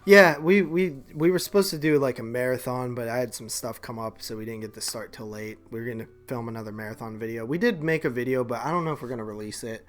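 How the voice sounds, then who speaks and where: A man's voice: mid-range voice, fast, few pauses. Male podcast co-host. Everyday mid-range voice, informal back-and-forth chat. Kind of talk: casual banter.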